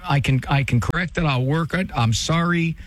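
A man's voice: fairly high pitch, moderate pace. A man hosting an advice call-in show, talking directly and firmly and pressing the caller with pointed questions. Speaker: radio advice host.

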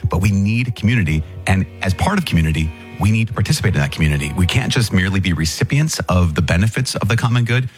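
A man's voice: sits low, animated, fast talker, faint music behind. A man, a priest hosting a teaching podcast, explaining things conversationally and rephrasing mid-thought into a close mic. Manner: thinks aloud, rephrases mid-sentence.

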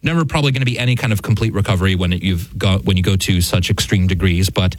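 A man's voice: sits low, fast talker, dry recording, low-quality recording. A man co-hosting a news show, chatting casually about headlines in rambling starts and restarts. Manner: talks in rambling restarts, chuckling.

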